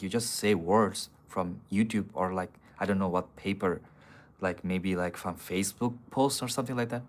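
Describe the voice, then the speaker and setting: A man on a casual live stream, answering viewer comments in a blunt, profane, argumentative way. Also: low-pitched. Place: city streets outdoors.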